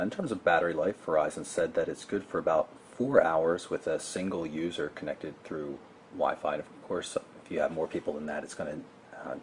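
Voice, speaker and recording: A man with a low voice presents a gadget in a casual, explanatory way. He is matter-of-fact, like a reviewer walking through a product. There is clear noise in the background of the recording. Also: a little mellow.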